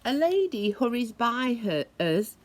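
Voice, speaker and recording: A woman reading a children's story aloud in a deliberate, expressive way, imitating the sounds it describes. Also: a little high. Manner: deliberate, acts out noises expressively.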